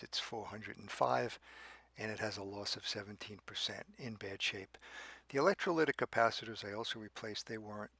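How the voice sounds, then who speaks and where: A man narrating an unscripted how-to about a repair, speaking methodically and haltingly in short phrases with pauses between them. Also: fairly low pitch, gravelly, sharp tone, husky, no room sound. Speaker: radio restorer and presenter.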